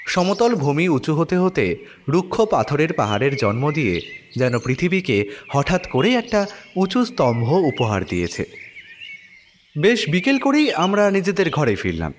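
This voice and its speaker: A man's voice: on the high side, huge pitch swings, measured pace, big-room sound, slight background noise. A man narrating a detective story in the first person, as scripted audio drama narration.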